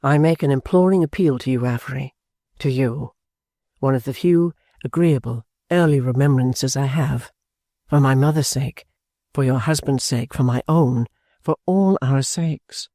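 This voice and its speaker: A man reading an audiobook, voicing an earnest, formal gentleman who speaks in a measured, reassuring way. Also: mid-range voice, no room sound, studio quality, natural voice. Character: earnest gentleman, calm, persuasive. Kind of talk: audiobook character voice.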